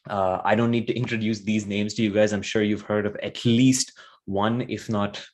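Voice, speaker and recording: A young man with a fairly low voice runs a live marketing webinar from his computer. He talks in a loose, rambling way, piling on fillers and restarting his sentences. The sound comes through a plain computer microphone. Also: flat delivery, moderate pace, a little mellow. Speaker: webinar host, content marketer. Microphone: computer mic on a live webinar.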